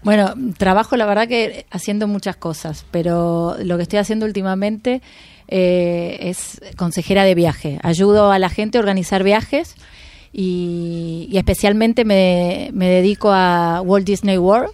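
A woman's voice: mid-range voice, steady tone, a little slow, darkish tone, no room sound. A woman with an Argentine accent, chatting casually as a radio interview guest and correcting herself as she goes. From raised in Argentina. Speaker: interview guest, travel advisor.

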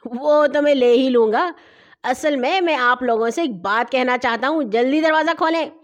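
A woman voicing a brash, impatient male robber in a storybook reading, loud and demanding. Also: extremely high pitch, muffled recording. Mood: teasing, impatient.

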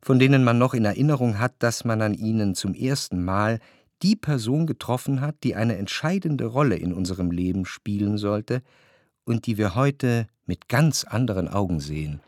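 An actor playing a reflective, cultured man who recalls his past in a literary radio drama, with measured, precise diction. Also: fairly low pitch, expressive, a little mellow, natural voice. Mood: wistful. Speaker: narrator.

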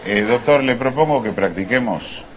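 A man's voice: medium pitch, animated, moderate pace, crowd noise, close to an everyday voice. A man playing a fussy, mock-learned language scholar in a live stage comedy sketch. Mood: pedantic. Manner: mock-learned, overly formal. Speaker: comic stage performer. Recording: live stage with background noise.

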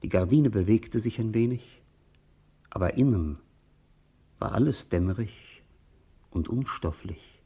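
Radio drama narrator: low, husky, contemplative, lyrical. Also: male voice, lively intonation, a little slow, pauses often, mellow, full character voice. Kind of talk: literary radio play narration.

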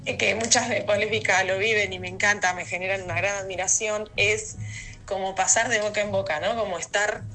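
An Argentine woman telling a personal story in a recorded message, reflective and hesitant, pausing between phrases. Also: medium pitch, fairly even intonation, sharp tone, soft background music.

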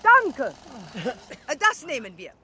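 A woman's voice: high voice, huge pitch swings, slow, bright, forceful tone, slight background noise, exaggerated voice. An actress playing an imperious, demanding female film director in a radio drama, clipped and commanding. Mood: bossy, exacting. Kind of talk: German radio drama acting.

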